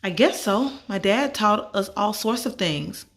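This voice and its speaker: A woman's voice: medium pitch, lively intonation, unhurried, voice pushed up. A woman voicing a sweet, unsure young girl in a children's story. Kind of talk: read-aloud children's story.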